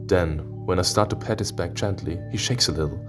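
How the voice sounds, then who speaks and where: Male reader as introspective furry visual novel lead, deep and earnest, tender delivery over clear music. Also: a little fast, close to an everyday voice. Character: introspective, tender anthropomorphic animal narrator of a romance visual novel.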